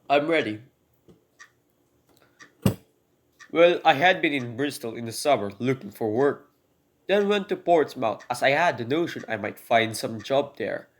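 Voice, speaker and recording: A man's voice: medium pitch, lightly acted. A man playing an embittered poor convicted burglar in a radio drama, speaking formally and earnestly as he pleads his case and recounts his story. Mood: earnest recounting. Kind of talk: hobby radio drama acting, long monologue.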